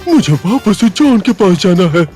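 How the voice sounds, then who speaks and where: A food-loving cartoon tomcat, sardonic and grumbling. The pitch moves in lively swings, with faint music underneath. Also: male voice, normal speed. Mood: hopeful. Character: lazy, food-loving, sarcastic male cartoon cat. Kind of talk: animated cartoon dub.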